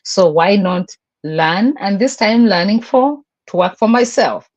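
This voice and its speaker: A woman's voice: medium pitch, animated, measured pace, a little mellow, highs cut off. A middle-aged woman with a Ugandan accent, giving a personal testimonial in short, emphatic phrases on a group call. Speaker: guest, business coach and language teacher.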